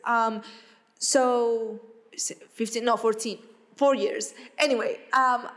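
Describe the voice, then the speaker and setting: A woman's voice: a little high, fairly even intonation, slow pace, fairly bright tone, big-room sound. A young woman from Spain co-hosting a casual podcast chat, talking emphatically with frequent fillers. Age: young adult. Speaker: podcast co-host.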